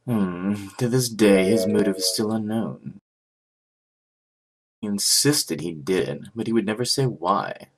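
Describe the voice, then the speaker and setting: A man voicing a composed, formal prosecutor in a game fandub, speaking in a measured, matter-of-fact way. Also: fairly low pitch, breathy edge. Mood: composed, businesslike. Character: composed, formal male prosecutor briefing on a case. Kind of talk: scripted game fandub acting.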